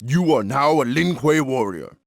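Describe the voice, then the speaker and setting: An actor playing a stern, proud warrior guarding a temple in a video game, with a formal, commanding delivery. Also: male voice, mid-range voice, lively intonation, moderate pace, creaky. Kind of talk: video game cutscene voice acting.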